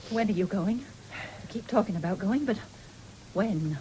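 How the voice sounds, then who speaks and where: A woman's voice: mid-range voice, animated, measured pace, close to an everyday voice, poor audio. Actress as a scolding, worried farm mother. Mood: scolding, hurt. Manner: nagging, sharp-tongued. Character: scolding, anxious middle-aged farm mother. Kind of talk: radio drama acting. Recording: 1970s radio broadcast with background noise.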